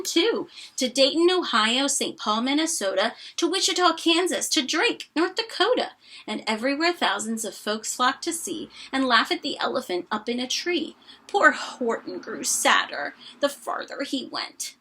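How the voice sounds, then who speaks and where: A woman's voice: high voice, sharp tone, a little hiss or hum. A woman reading a rhyming children's story aloud expressively and dramatically, with chatty asides.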